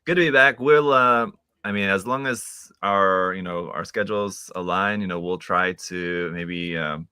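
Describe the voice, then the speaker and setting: A man hosting a casual live stream chat, relaxed and laughing often, with many fillers. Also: deep voice, unhurried, few pauses, clean tone, dry recording.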